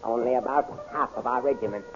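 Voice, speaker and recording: An actor playing a deferential, formal officer in a subdued manner, in a 1930s radio serial. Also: male voice, medium pitch, a little fast, close to an everyday voice. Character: deferential male officer serving a royal commander. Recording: muffled old radio recording, music behind.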